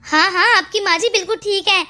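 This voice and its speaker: A woman's voice: very high-pitched, fairly quick, sharp tone. A woman voicing a selfish, scheming young daughter-in-law in a Hindi cartoon story, with a glib, dismissive way of talking. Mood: glib, reassuring.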